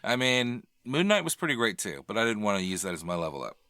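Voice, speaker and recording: A man co-hosting a podcast in casual, animated banter about movies and TV, opinionated and freely swearing. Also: lowish voice, quick pace, smooth, no room sound, clean studio sound. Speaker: podcast co-host.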